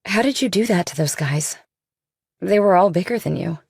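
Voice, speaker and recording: Young professional woman, uneasy and tentative, acted by a woman. Audiobook dialogue, gravelly fry, dry recording. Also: on the low side, animated, moderate pace, darkish tone, dry recording, full character voice. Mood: curious, probing.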